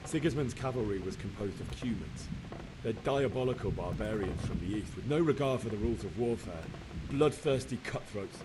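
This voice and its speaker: Man acting grieving young blacksmith's son, low voice. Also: expressive, moderate pace, background noise, natural voice. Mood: bitter. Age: young adult. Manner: earnest, sorrowful storytelling. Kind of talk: dramatic game cutscene acting.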